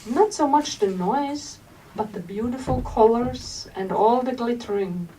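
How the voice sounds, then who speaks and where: Woman, reading her memoir, gentle storyteller, slow and unhurried, bookstore signing, distant mic. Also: on the high side. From Switzerland. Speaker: author. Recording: distant mic with faint room noise.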